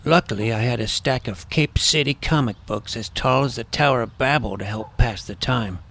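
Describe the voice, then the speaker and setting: Man as a creaky-voiced nerdy schoolboy narrator. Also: medium pitch, normal speed, fairly bright tone. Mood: earnest narrating. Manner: precise, wordy, geeky. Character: nerdy, earnest junior high schoolboy, wordy would-be ladies' man. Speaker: narrator and lead character. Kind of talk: comedic audio drama narration and dialogue.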